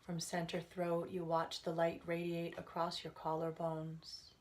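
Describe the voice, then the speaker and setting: A woman leading a guided relaxation as a meditation instructor, speaking gently and soothingly in unhurried phrases with pauses. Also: lowish voice, monotone.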